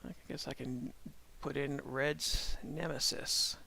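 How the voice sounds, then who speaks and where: Gravelly, calm-voiced man streaming while drawing. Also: medium pitch, poor audio. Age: middle-aged. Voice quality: calm-sounding voice. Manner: halting, thinking aloud with many restarts. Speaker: streamer and animator. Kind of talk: casual live-stream chat while drawing.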